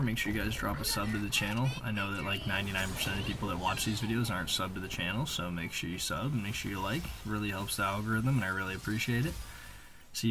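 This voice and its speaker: A man's voice: fairly low pitch, creaky, background noise. A man giving casual, slangy, conversational voice-over commentary on video gameplay, as a gaming video creator.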